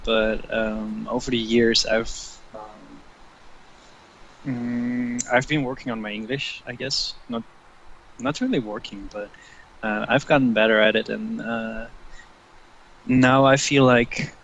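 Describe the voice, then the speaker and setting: A man's voice: mid-range voice, slow pace, a bit bright, muffled recording, a little hiss or hum. A man from the Netherlands with a Dutch accent, a native Dutch speaker chatting in English, hesitant with many fillers. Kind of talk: casual chat.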